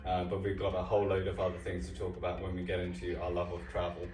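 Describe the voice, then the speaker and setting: A man's voice: sits low, monotone, fast, darkish tone. A man hosting a casual interview, upbeat and playful, with some filler ums. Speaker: host, co-founder. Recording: roomy sound from a distant mic with music.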